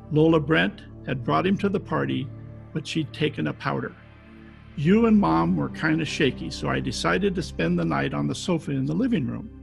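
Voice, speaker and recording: An actor playing a wry, deadpan private detective who narrates in 1940s radio style with a gravelly voice. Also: male voice, fairly high pitch. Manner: deadpan, wisecracking. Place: home, recorded over video call.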